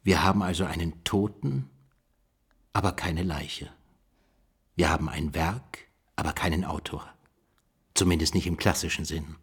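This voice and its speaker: A pondering investigator, deep husky voice, reasoning aloud, radio play dialogue. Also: male voice, steady tone, normal speed, pauses often, full character voice. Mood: intrigued. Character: pondering male investigator puzzling over a literary case.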